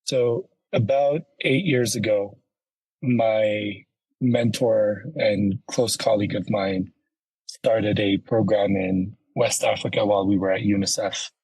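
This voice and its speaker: A man from Ethiopia, a company founder, answering interview questions in a measured, explanatory way. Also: lowish voice, fairly even intonation, a little mellow, slightly rough, dry recording, poor audio. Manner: measured, explanatory, uses "you know" and "right?". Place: home office in Ethiopia, remote call.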